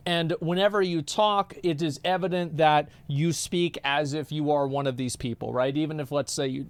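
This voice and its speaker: A man's voice: on the high side, smooth, no echo. A man streaming, reacting to videos in rambling, profane casual commentary, often stammering and repeating words.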